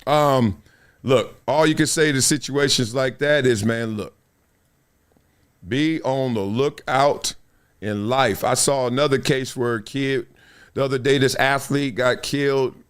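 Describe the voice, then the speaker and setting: A middle-aged man hosting a casual livestream, reading viewer comments and news aloud in a playful, animated way. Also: medium pitch, measured pace, smooth. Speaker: show host. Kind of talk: casual live-stream commentary.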